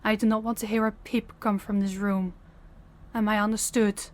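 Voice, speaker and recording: A woman's voice: medium pitch, flat delivery, natural voice. A woman playing a young princess, stern, principled and formal, in an audio roleplay. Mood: stern, commanding. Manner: formal, commanding, measured diction. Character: young princess, stern, authoritative, compassionate, defiant.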